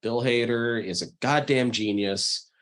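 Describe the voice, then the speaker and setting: A man's voice: medium pitch, measured pace, fairly bright tone. A man hosting a podcast, making recommendations in a casual, emphatic way with enthusiastic swearing. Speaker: podcast host. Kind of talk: casual chat, giving recommendations.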